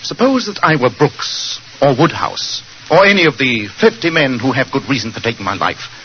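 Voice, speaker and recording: A man's voice: mid-range voice, very animated, moderate pace, close to an everyday voice. A man playing a restless, brilliant, imperious English detective with a British accent, speaking in a commanding, theatrical 1940s radio drama manner. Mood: restless impatience. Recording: muffled, noisy 1940s radio recording.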